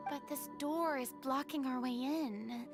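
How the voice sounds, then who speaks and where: A woman's voice: high voice, unhurried, music in the background. A woman voicing a cheerful, spirited young woman adventurer who talks in an upbeat, eager way.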